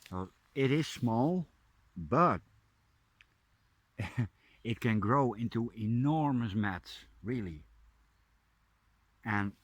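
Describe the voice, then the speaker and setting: A man with a Dutch accent, softly narrating a mushroom hunt in a forest as a nature vlogger. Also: medium pitch, animated, very slow, pauses often, husky, no room sound. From Netherlands. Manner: soft-spoken, drops to a hushed near-whisper up close. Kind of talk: casual narration while foraging. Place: forest, outdoors.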